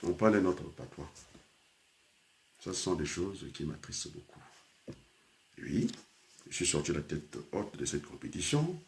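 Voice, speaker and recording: A man's voice: low-pitched, sing-song, measured pace, long pauses, slight background noise. An older man from Congo, a teacher and trainer, speaking in a rambling personal talk full of hesitations and switches into Lingala.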